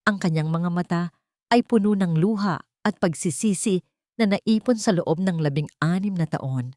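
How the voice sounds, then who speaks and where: A woman's voice: fairly low pitch, moderate pace, warm tone, dry recording, clean studio sound. A woman reading a scripted dramatic story as its narrator.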